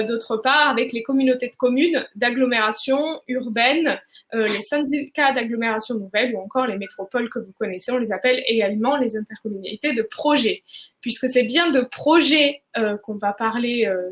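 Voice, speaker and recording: A high-voiced woman presents as the host of a live online conference. She explains things in a semi-formal way, often pausing on hesitations in the middle of her sentences. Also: a bit bright.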